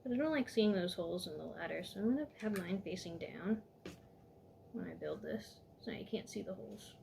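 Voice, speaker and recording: A woman walking viewers step by step through a toy building set in a calm, instructive way, a little hoarse from losing her voice. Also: lowish voice, brisk, a little hiss or hum.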